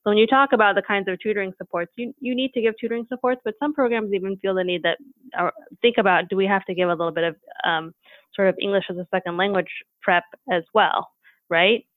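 A woman's voice: medium pitch, fast, no room sound. A woman speaking as a guest expert in a podcast conversation, explaining at length and often checking in with "right?". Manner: thoughtful, explanatory, many "right?" tags. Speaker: guest expert on teacher preparation. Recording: thin phone-like line, dry.

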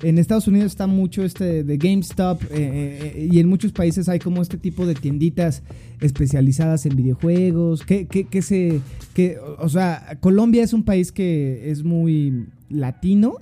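Slangy chilango man, dark voice. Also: fairly high pitch, moderate pace. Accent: Mexico City Spanish. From Mexico. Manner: slangy, joking, loose. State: a bit tipsy. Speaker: podcast host. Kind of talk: casual podcast chat.

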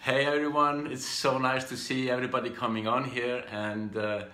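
A middle-aged man from Iceland with an Icelandic accent gives an informal live talk about his own past, speaking haltingly with repeated words and restarts. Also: mid-range voice, little pitch movement, bright, forceful tone, echoey room. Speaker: speaker giving a business training talk.

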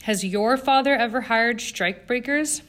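A woman's voice: fairly high pitch, moderate pace, fairly bright tone, voice pushed up, lightly acted. A woman voicing an indignant, earnest young society woman from an audiobook, emphatic and accusing. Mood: accusing, pressing. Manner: emphatic, sputtering outrage. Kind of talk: audiobook character acting.

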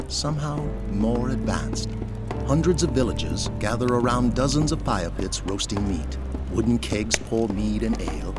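A man's voice: lowish voice, lightly acted. A man narrating an audio drama in a descriptive, dramatic scripted style.

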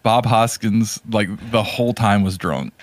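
A man co-hosting a casual podcast chat about movies, speaking in a halting, rambling way and often correcting himself. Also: lowish voice, no echo. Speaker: podcast co-host.

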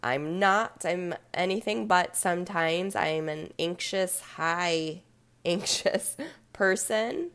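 A woman in her thirties talks in an unhurried, measured way, her voice a little low for a woman. She sounds stuffed up and nasal from a cold. Her manner is candid and reflective, with frequent ums. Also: fairly bright tone, smooth. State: congested, nasal from a sinus infection. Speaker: podcast host, yoga and fitness instructor. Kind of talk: casual solo talk.

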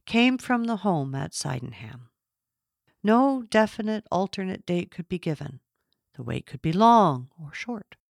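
A woman's voice: mid-range voice, dry recording, clean studio sound. A woman narrating a literary story from a script. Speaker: audiobook narrator.

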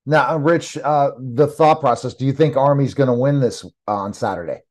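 A male interview host with a medium-pitched voice. He talks in a conversational sports radio style, stacking one loose question on the next in a rambling way. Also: moderate pace, darkish tone.

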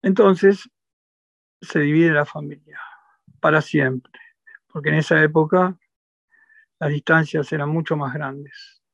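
An Argentine man with an Argentine Spanish accent, answering interview questions in a measured, emphatic way and repeating phrases as he thinks. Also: fairly high pitch, gravelly, dry recording. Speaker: interview guest. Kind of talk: reflective interview talk. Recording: dull lo-fi dry sound.

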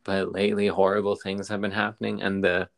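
A man's voice: low voice, monotone, a little fast, gravelly. A man hosting a podcast in casual chat, rambling and often restarting his sentences. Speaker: podcast host.

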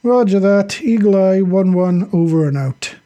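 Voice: medium pitch, moderate pace, dark tone, lo-fi sound, lightly acted. A narrator as a snarky female condor scout. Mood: clipped, businesslike. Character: snarky female condor scout leader, crisp military radio talk.

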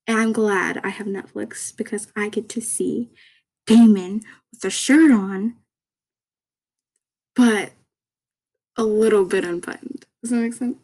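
A young woman hosting a casual podcast, her voice raspy from just waking up, talking in a rambling, chatty way with many fillers. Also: medium pitch, unhurried, pauses often, darkish tone, poor audio. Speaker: podcast host.

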